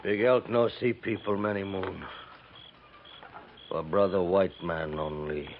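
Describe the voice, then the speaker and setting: A deep proud captive chief, slow halting broken english, muffled old radio western. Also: male voice, fairly even intonation, a little hiss or hum. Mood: guarded, defiant. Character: proud, wary captive Sioux chief, adult man. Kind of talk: 1950s radio western acting. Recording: muffled narrow-band 1950s radio recording.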